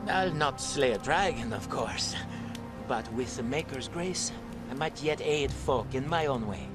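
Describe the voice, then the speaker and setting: Guy acting humble fisherman-scholar in fantasy game, earnest, mid pitch, loud music behind. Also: expressive, a little slow, close to an everyday voice. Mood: earnest, resolute. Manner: thoughtful, earnest, archaic phrasing.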